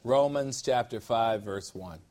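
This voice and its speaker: A man preaching as a pastor, teaching verse by verse, stretching key words slowly for weight and building intensity toward his main points. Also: medium pitch, darkish tone. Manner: jokes lightly with the congregation. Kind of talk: expository sermon teaching from scripture.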